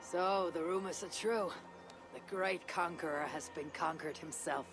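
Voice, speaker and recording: Game cast: actor playing a weary Viking wanderer, clipped and dry, low-key delivery. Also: fairly even intonation, measured pace, over music, close to an everyday voice. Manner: dry, terse, quiet. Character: weary Viking warrior bidding farewell. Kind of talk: video game cutscene acting.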